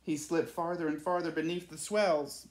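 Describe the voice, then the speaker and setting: A man's voice: a little high, steady tone, moderate pace. A man reading a children's picture book aloud as a storyteller. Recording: echoey room.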